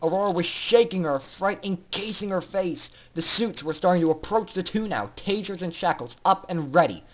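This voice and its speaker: A man's voice: high voice, animated, normal speed. A man narrating fan fiction in a theatrical, intense style, as a dramatic story reader.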